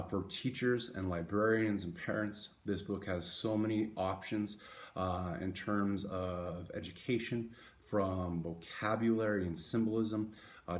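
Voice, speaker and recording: A man with a fairly low voice hosts a children's picture book read-aloud. He addresses young listeners directly, with a deliberate storyteller's delivery. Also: slight background noise. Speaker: read-aloud host for schoolchildren.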